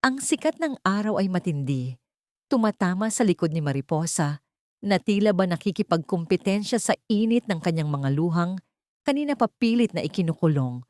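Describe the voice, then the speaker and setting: A woman narrating a dramatic romance audiobook in a measured, solemn storytelling manner. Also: fairly low pitch, very animated, darkish tone, no room sound, studio quality.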